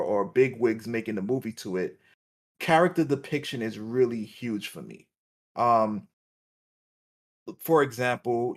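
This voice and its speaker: A man co-hosting a podcast, talking casually and searching for his words as he explains his view. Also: medium pitch. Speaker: podcast co-host. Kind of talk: casual panel discussion.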